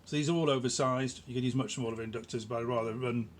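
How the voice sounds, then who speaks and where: A middle-aged man giving a casual, informal product demonstration in a small indoor workshop, explaining haltingly and repeating words for emphasis. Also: medium pitch, fairly even intonation. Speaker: electronics builder presenting their own product. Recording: clear background noise in a room.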